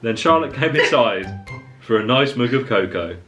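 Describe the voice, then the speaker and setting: A man's voice: mid-range voice, expressive, moderate pace, a bit bright, faint music behind. A man reading stories dramatically and bantering in a playful, amused way, often laughing. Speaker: vlogger, co-reader with his sister.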